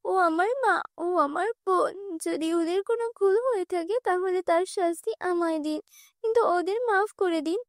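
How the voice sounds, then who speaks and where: A kind, humble young heroine from a cartoon storybook who speaks earnestly and softly. A woman voices her in her own very high voice. The recording is dry, with no room echo. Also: normal speed. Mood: pleading. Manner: earnest, soft-spoken.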